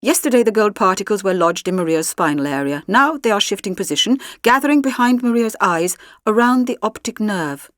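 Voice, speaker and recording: A woman voicing a calm, formal male alien supercomputer in an audiobook, speaking in measured technical phrases. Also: medium pitch, dry recording, clean studio sound, pitched above the performer's own voice, natural voice. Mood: measured, analytical.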